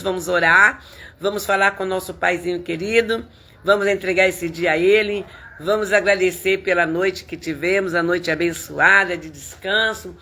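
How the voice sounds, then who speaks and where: Hoarse Brazilian woman pastor. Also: fairly low pitch. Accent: Brazilian Portuguese. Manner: fervent, warm greetings by name, frequent "né". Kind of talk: livestreamed sermon and prayer, greeting viewers.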